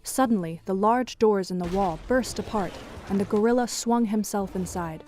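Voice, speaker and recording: A woman reads scripted story narration for an audio drama. Her voice sits at a medium pitch, with music playing softly behind it. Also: moderate pace.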